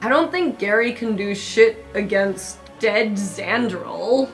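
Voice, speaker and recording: A woman's voice: medium pitch, slow, soft background music. A woman playing a tabletop role-playing game, chatting casually in a blunt, sweary way.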